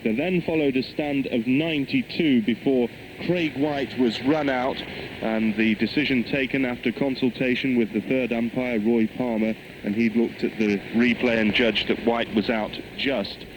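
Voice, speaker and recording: A man's voice: medium pitch, steady tone, normal speed, noisy background. A man giving live radio cricket commentary, heard over a shortwave broadcast. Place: cricket ground commentary position.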